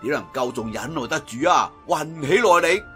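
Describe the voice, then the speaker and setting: Bright, animated Cantonese male storyteller. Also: a little high, moderate pace, faint music behind. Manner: theatrical, colloquial teahouse-style storytelling. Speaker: storyteller, audiobook narrator. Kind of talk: dramatic scripted wuxia story narration.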